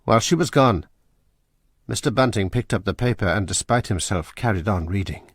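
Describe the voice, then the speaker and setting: Grave husky male narrator. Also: fairly low pitch, lively intonation, dry recording, studio quality. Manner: measured, grave. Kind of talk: scripted narration.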